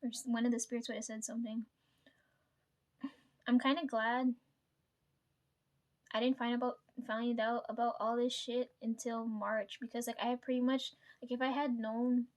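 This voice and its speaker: High-pitched woman rambling to her viewers, cussing and laughing, keeps asking "right?". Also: flat delivery, normal speed, long pauses. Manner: chatty, swears freely, laughs often, frequent "right?". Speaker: vlogger. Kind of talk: casual storytelling to camera.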